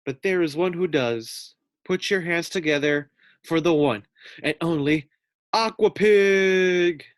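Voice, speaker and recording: A man's voice: on the high side, slow, no echo, studio quality, voice pushed up. A man voicing an eager show announcer in a children's storybook, with grand, building showmanship. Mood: grand, excited. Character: eager show announcer introducing a star guinea pig. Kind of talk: storybook read-aloud for children.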